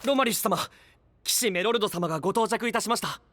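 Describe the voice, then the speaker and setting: A man's voice: high voice, fast talker, a bit bright, a little hiss or hum. A male actor playing a young attendant in an anime audio drama, stiffly polite, deferential and easily flustered. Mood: formal, eager.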